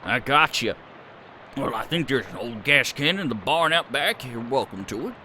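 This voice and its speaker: A man's voice: mid-range voice, lively intonation, moderate pace, a little hiss or hum, voice pushed down, lightly acted. A man voicing an aging, homely male farmer who talks in a rambling country drawl. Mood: folksy.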